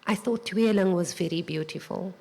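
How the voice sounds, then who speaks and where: A woman moderating a live festival panel, asking questions in a measured, unhurried way. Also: medium pitch, creaky, mellow. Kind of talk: panel discussion. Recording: live hall recording with reverb.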